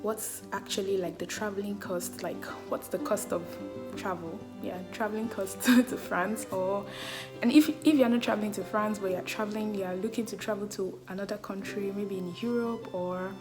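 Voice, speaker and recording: A young woman with a Nigerian accent, talking casually to the camera with a loud voice and many "like" fillers. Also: mid-range voice, fairly even intonation, moderate pace. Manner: chatty, full of "like" fillers, laughs often. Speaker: travel vlogger. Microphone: camera mic, no external mic. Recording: background music.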